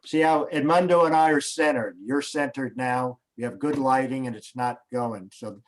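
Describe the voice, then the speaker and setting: A man hosting, talking casually and haltingly over a video call, coaching a guest before a show. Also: medium pitch, low-quality recording. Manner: hesitant, restarts, coaching tone. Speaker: host.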